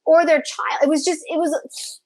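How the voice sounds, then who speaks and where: High-pitched, emphatic woman chatting. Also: flat delivery, a little slow. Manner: gushing, emphatic, repeats phrases for emphasis. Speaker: co-host of a drama review show. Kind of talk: casual opinionated review chat.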